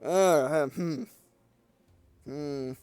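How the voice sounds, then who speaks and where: A man's voice: on the high side, drawn-out words, a little mellow, smooth, no echo, studio quality. A man giving casual let's play commentary, thinking aloud with some hesitation. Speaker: game player and commentator.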